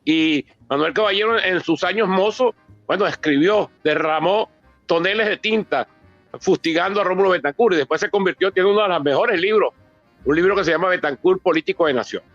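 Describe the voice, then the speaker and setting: A man's voice: sits high, a little fast, a bit bright, dry recording. A Venezuelan man in his sixties presenting his book in a public talk, discursive, with frequent asides and repeated words. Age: 60s. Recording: dull lo-fi audio, music behind.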